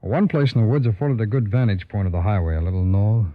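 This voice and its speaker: A man's voice: on the low side, expressive, quick pace, lightly acted. An actor playing a businesslike, deferential American lawman reporting to his superior in an old radio crime drama. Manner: businesslike, matter-of-fact. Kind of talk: 1940s-50s radio crime drama acting. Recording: tinny, narrow-band old radio recording.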